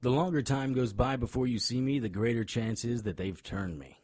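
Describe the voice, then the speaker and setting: An actor playing a tough, wisecracking monster hunter in an audio drama, grim and cocky, with a husky voice. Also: male voice, mid-range voice, normal speed, darkish tone, no echo, clean studio sound, close to an everyday voice. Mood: grave, urgent. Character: hard-boiled male monster hunter, grim, cocky, threatening. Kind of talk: audio drama acting with noir first-person narration.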